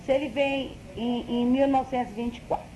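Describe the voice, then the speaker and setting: A woman's voice: high-pitched, steady tone, brisk, warm tone, poor audio. A middle-aged woman recalling her family history in an interview, explaining things carefully and hedging as she reasons aloud. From Brazil. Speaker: interviewee, daughter.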